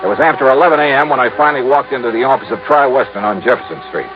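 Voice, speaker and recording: An actor playing a wry, world-weary American insurance investigator in a 1950s radio drama, with a dry, clipped, wisecracking delivery. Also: male voice, medium pitch, rapid-fire, over music, lightly acted. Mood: wry narrating. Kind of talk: 1950s radio detective acting and narration. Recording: muffled old radio recording, faint noise.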